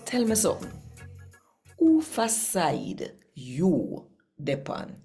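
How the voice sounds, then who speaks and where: Jamaican lady reading bible verses, slow n deliberate, mellow voice, talks right to you. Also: on the low side, wildly swooping pitch, faint music behind. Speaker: host reading daily scripture. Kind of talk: expressive Bible reading in Patois with direct address to listeners.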